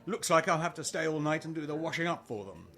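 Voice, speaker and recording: A man playing a gallant, bluff older gentleman in a radio play, with hearty old-fashioned talk. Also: a little high, lively intonation, fast. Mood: blustering, embarrassed.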